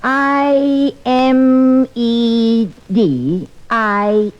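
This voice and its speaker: A patient, slightly puzzled homemaker in an old radio comedy. Her voice is fairly high, obliging with mild exasperation, and the vintage recording is dull. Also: fairly even intonation, extremely slow. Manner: homely, obliging, mildly exasperated. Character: patient, slightly puzzled woman caring for boy. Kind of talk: old-time radio family comedy acting.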